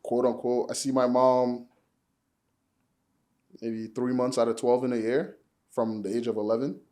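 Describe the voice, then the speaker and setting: A man's voice: mid-range voice, measured pace. Laid-back young man from Nigeria. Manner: relaxed, rambling, many "like" and "you know" fillers. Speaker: podcast guest. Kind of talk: casual conversation.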